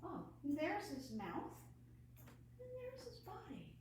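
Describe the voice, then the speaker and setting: Slow, very lively woman hosting children's storytime. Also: high voice. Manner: animated, asks child viewers questions. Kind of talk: children's storytime read-aloud and craft demonstration. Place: at home, indoors. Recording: roomy echo, faint background noise.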